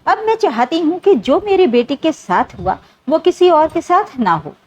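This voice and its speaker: A woman's voice: extremely high pitch, very animated, normal speed, darkish tone, pitched above the performer's own voice. A woman voicing a protective, determined mother in a story, speaking earnestly and urgently. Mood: resolute. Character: protective, determined mother fighting for her daughter.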